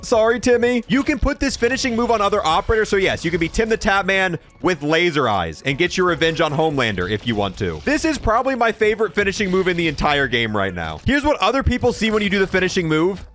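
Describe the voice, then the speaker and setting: A man's voice: sits high, big pitch swings, fast talker, few pauses, a bit bright, soft background music. A man hosting a gaming video with casual, playful showcase commentary.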